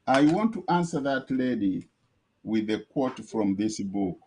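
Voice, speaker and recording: A man co-hosting a talk show, reading a quote from a book in a measured way. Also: medium pitch, animated, warm tone, slight background noise, rough recording. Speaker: co-host.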